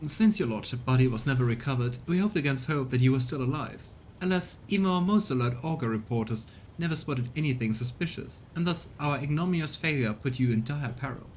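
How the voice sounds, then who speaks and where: A man's voice: medium pitch, expressive, a little hiss or hum, natural voice, rough recording. A man reading as a deferential, formal ship's steward. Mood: grave, apologetic. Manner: courtly, measured, apologetic. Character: deferential high factotum making grave formal reports.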